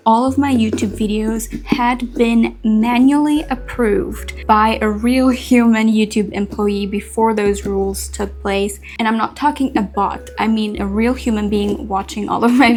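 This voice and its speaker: A young woman from Switzerland, a native Swiss German speaker, chatting earnestly with soft laughs while carving a pumpkin in a Q&A vlog. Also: on the high side. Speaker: content creator.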